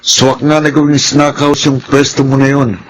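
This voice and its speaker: An upbeat young man acted for radio drama speaks at a medium pitch. He is easygoing and encouraging, with faint background noise. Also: little pitch movement, normal speed, close to an everyday voice, low-quality recording. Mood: impressed. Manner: enthusiastic, easygoing. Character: upbeat, supportive young male college student.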